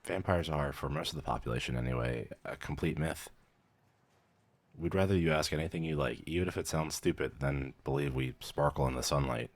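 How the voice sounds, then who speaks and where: Casting: male actor as weary vampire clerk-medic for ASMR audio drama, extremely low voice, measured, dry, professional edging into casual. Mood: casual, matter-of-fact. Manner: measured, clinical, dryly matter-of-fact, hesitant when surprised. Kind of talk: scripted ASMR roleplay.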